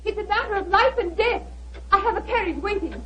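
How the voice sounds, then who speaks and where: A woman's voice: extremely high pitch, animated, brisk, a bit bright. An actress playing a cultivated, urgent lady caller, refined and pressing, in 1940s radio style. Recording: dull, hissy 1940s radio recording.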